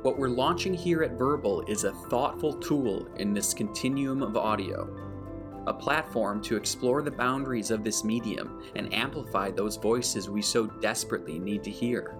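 A man's voice: mid-range voice, moderate pace, over music. A man speaking as a podcast host in a scripted narration style, addressing the listener directly.

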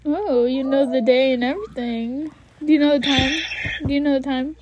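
A woman's voice: high voice, normal speed, a little mellow. A young woman casually interviewing friends and family with playful, teasing questions.

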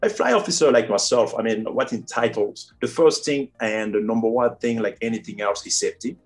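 A man's voice: medium pitch, a little fast, faint music behind. A man in his forties from Cameroon with a Cameroonian French accent, answering interview questions earnestly with frequent fillers. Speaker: airline first officer, guest.

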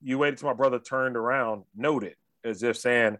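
An American man hosting a casual sports talk show, telling stories in an animated, slangy way with frequent check-ins to the listener. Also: medium pitch, normal speed, no echo. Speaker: sports talk podcast host.

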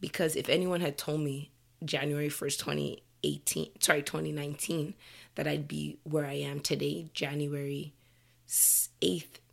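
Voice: mid-range voice, flat delivery, normal speed, creaky, fairly bright tone. A podcast host with a froggy voice from a slight cold, giving a candid, earnest motivational monologue to listeners.

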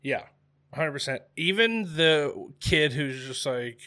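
Bright-voiced man in his thirties, casual. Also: fairly high pitch, expressive, measured pace. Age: 30s. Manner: laid-back, self-mocking, laughs often. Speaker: podcast co-host. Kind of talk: casual chat.

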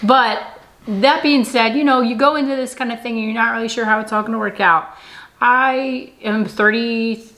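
A woman's voice: on the high side, fairly even intonation, normal speed, a bit bright, rough recording. Chatty woman in her thirties, laughing often. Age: 30s. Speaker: channel host. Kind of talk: casual couple vlog chat.

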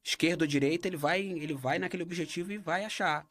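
A man from Brazil with a Brazilian Portuguese accent, in a relaxed talk, often stumbling and repeating words as he searches for what to say. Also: a little high, quick pace. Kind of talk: casual conversation.

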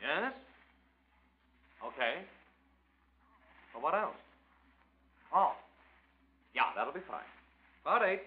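An offhand painter in a vintage radio play, breezy and swinging his pitch widely. The broadcast is muffled, with a faint hiss. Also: very animated, slow pace, pauses often. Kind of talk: 1940s radio acting.

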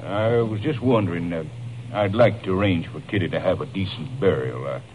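An actor playing a humble, remorseful guardian of a troubled young woman, speaking haltingly in a 1950s radio drama. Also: low voice, a little mellow, lightly acted. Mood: downcast, apologetic. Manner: halting, apologetic. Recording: muffled, noisy old-time radio recording.

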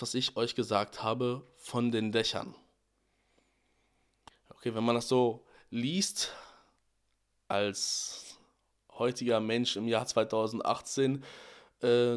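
Young male podcast host, a bit hoarse from a cold, mid-pitched voice, relaxed teaching tone with small restarts. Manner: relaxed, explanatory, with fillers and restarts. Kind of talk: devotional podcast talk on a Bible verse.

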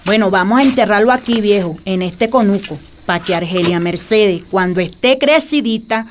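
A woman's voice: medium pitch, moderate pace, slight background noise, lightly acted. An actress with a Venezuelan accent playing a devout, folksy country wife and mother in a radio drama, colloquial and exclamatory. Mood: agreeable, earnest.

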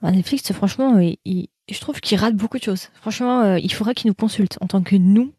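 A woman's voice: mid-range voice, brisk, warm tone, husky, no room sound, studio quality. A young woman hosting a casual live chat, talking to viewers in a slangy, rambling way with many fillers. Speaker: host of a live chat about Korean dramas.

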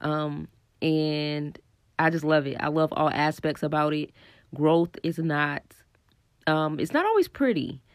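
A woman's voice: deep voice, animated, unhurried, no room sound, clean studio sound. Stuffy-voiced woman hosting a podcast. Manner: earnest and encouraging, thinking aloud with frequent ums. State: stuffy and congested with a scratchy throat from a cold. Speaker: podcast host. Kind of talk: casual solo podcast monologue on spiritual growth.

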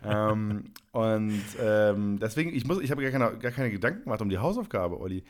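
A man co-hosting a casual podcast chat, talking in a rambling, halting and wry way. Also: on the low side, big pitch swings. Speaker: podcast co-host.

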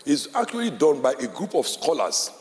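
A man's voice: on the high side, huge pitch swings, moderate pace, creaky. A man, a Yoruba speaker, teaching a Bible class as a pastor. He explains step by step, repeats key phrases and keeps checking in with his listeners. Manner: explains step by step, checks in with "all right?" and "okay?". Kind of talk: classroom-style teaching talk. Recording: long hall reverb.

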